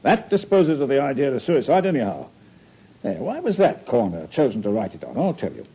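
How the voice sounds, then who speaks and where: A man's voice: mid-range voice, wildly swooping pitch, a little fast, slightly rough, full character voice. A man playing a proud, blustering police inspector who boasts eagerly about his finds in a radio drama. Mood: self-satisfied. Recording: dull lo-fi radio broadcast.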